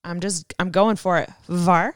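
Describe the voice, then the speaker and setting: A young woman co-hosting a podcast, reading a listener's story aloud in an animated way and laughing often. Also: on the low side, a little slow, no room sound, studio quality. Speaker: podcast co-host.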